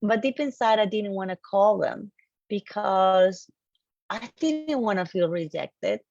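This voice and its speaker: A woman with a Colombian accent, a native Spanish speaker, giving an animated, emphatic motivational presentation to an online audience and repeating key phrases. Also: medium pitch, measured pace. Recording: dull, lo-fi online audio, slightly roomy.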